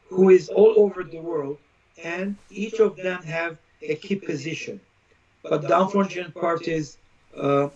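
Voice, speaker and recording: Male interview guest, social critic type: higher-pitched voice, declarative and emphatic, list-driven delivery, dull streamed sound. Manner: emphatic, declarative, builds points in repeated lists. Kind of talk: opinionated live interview talk.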